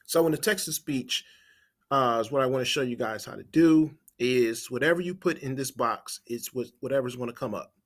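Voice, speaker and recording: A man with a medium-pitched voice leads an informal screen-share tutorial. He talks like a trainer, laying out each step and thinking aloud as he works. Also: normal speed. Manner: step-by-step, explanatory, thinking aloud while typing. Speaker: marketing trainer.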